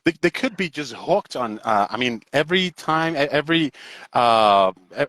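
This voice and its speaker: A man in his forties, an English teacher hosting a casual live interview, who talks in a halting, wordy way, repeating words and often checking in with the listener. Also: medium pitch, sing-song, fairly bright tone. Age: 40s. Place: indoors on an online video call.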